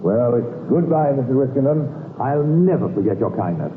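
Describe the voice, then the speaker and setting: A man's voice: mid-range voice, expressive, normal speed, lightly acted. A muffled, hissy old radio recording carries a man acting a cheerful English ladies' man. His voice swings up and down freely as he coaxes and soothes with hearty endearments. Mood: jovial. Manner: hearty, coaxing, full of endearments. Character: cheerful, smooth-talking male runaway husband, a ladies' man. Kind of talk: vintage radio drama acting.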